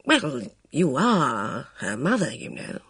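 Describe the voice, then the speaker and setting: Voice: medium pitch, very animated, a bit bright, breathy edge, no echo, clean studio sound, heavily acted. A reader voicing a hesitant, kindly older military man who stammers and halts between words. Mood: flustered. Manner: stammering, halting, full of fillers.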